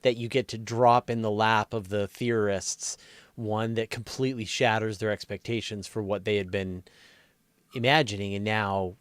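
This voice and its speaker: A man's voice: on the low side, steady tone, moderate pace, clean tone, no room sound. A man hosting an interview in a casual, conversational style, musing and putting questions to his guest. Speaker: interview host.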